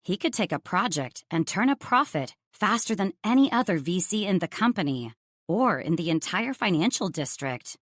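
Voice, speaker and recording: Low-voiced woman narrating romance fiction. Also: sing-song, normal speed, no echo, studio quality. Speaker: audiobook narrator. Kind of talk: first-person romance audiobook narration.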